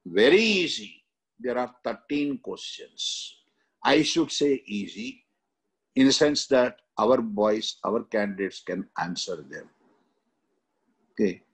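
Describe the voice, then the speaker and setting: An older man with an Indian accent lecturing on exam questions in a halting, repetitive way with frequent tags. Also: mid-range voice, lively intonation, breathy edge. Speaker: exam coaching teacher. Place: indoor room, seated.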